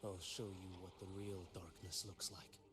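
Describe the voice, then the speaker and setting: A man's voice: low voice, level tone, moderate pace, noisy background, close to an everyday voice. A man voicing a stern, brooding warrior in a video game, terse, grave and commanding. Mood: stern resolve. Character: stern, brooding swordsman, a cold avenging warrior.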